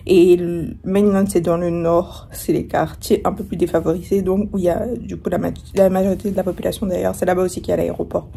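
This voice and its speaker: A woman's voice: fairly low pitch, flat delivery, fast, creaky, hardly any pauses, mellow, a little hiss or hum. A young woman vlogging casually from her hotel room, chatty and rambling, with a sore throat and a starting cold. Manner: chatty, rambling, many fillers and restarts. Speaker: travel vlogger. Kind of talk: casual vlog chat to camera.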